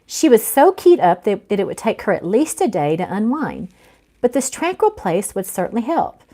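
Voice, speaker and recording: A woman's voice: fairly high pitch, mellow. A woman speaking as an author who hosts an informal livestream, chatting with viewers and reading aloud from a novel. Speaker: author hosting a live reading of their own book. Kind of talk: informal livestream chat and read-aloud narration.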